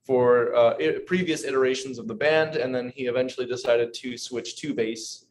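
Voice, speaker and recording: A man's voice: mid-range voice, steady tone, moderate pace, slight background noise. A man in his twenties from Portland, a band's guitarist, chatting easygoingly on a video call with many filler words. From Portland, Oregon. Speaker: guitarist in a band, guest. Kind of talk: casual interview chat.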